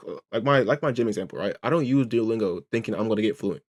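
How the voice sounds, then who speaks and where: A man's voice: lowish voice, expressive, fast, a little mellow. A man rambling through casual reaction commentary, restarting his sentences, swearing freely and asking "right?" over and over. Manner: rambling, restarts, keeps asking "right?" and "you know what I'm saying?". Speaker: reaction streamer.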